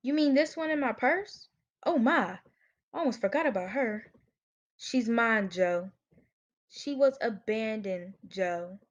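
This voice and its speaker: A woman's voice: a little high, animated, takes it slowly, highs cut off. A woman playing an indignant, dramatic jilted gangster's moll in a student radio play. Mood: smug, dramatic.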